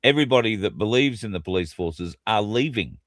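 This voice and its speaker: Blunt, low-voiced Australian man. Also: animated, a little fast, no room sound, studio quality. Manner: blunt and profane, reads out viewer comments. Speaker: co-host. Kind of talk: casual chat.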